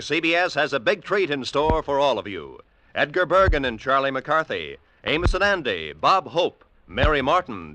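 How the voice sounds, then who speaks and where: Lively American radio announcer, old noisy recording. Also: male voice, mid-range voice. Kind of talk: 1940s scripted radio announcing.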